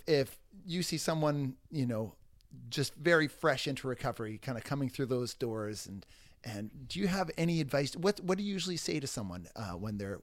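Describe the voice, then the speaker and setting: A man hosting an interview, speaking haltingly with many repeated words and restarts. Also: medium pitch, dry recording. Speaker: interview host. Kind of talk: casual conversational interview.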